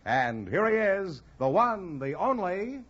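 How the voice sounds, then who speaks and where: Male American old-time radio announcer: formal showmanship, animated pitch, muffled broadcast sound. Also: slow, very noisy. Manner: formal, showy projection. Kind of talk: 1940s-50s radio show announcing. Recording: muffled vintage radio broadcast, music behind.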